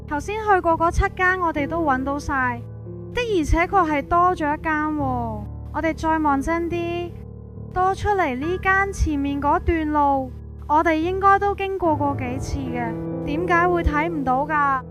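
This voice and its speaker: A woman's voice: sits high, moderate pace, natural voice. A woman with a Hong Kong Cantonese accent narrating a scripted first-person ghost story. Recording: prominent music behind.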